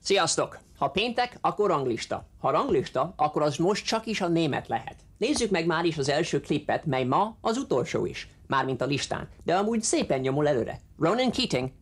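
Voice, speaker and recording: A man's voice: on the high side, sing-song, a little fast, few pauses, husky. A man presenting a music television chart show in a bouncy, playful announcer manner. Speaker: music TV video jockey. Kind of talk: upbeat TV presenting.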